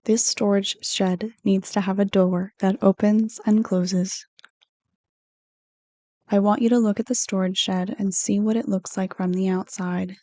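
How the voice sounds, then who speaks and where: A woman leads a guided meditation as a counselor would, with a mellow, relaxed tone. Her delivery is soothing and unhurried, staying on a level pitch as she gives gentle instructions. The sound is dry and close, free of room echo. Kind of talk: guided meditation, read from a script.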